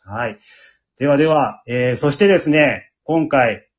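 A man's voice: medium pitch, normal speed. A man with a Kansai accent hosting a casual after-talk, switching between polite announcements and friendly chat. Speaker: host of an amateur radio drama group's after-talk. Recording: muffled lo-fi online audio.

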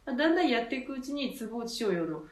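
A woman's voice: fairly high pitch, lively intonation, fast, distant mic. A woman from a theatre troupe explaining her artistic thinking reflectively in a radio talk. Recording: echoey room, away from mic.

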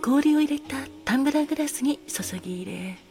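A woman's voice: high voice, animated, fairly quick, darkish tone. A woman in a scripted solo radio-drama narration, speaking formally and politely as the courteous master of a seaside cocktail bar. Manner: polite, formal hospitality speech. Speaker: podcast host playing bar master. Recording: music behind the voice. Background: music.

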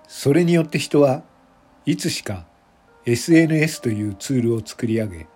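A man reading scripted narration for an audio drama in a measured, deliberate manner, phrase by phrase. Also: mid-range voice, expressive, darkish tone, breathy edge, faint music behind.

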